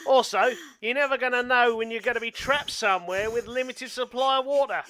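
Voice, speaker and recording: A man's voice: very high-pitched, a little fast, bright, forceful tone, no room sound. A man playing a paranoid, stubborn survivalist train passenger in an amateur radio play, protesting defensively. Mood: self-justifying.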